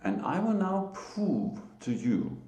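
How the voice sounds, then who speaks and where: A man from Denmark with a Danish accent, giving a solo religious talk to camera in a halting, emphatic way. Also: high voice, very animated, warm tone, echoey room. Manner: slow, halting, emphatic, with short laughs. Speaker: lay preacher.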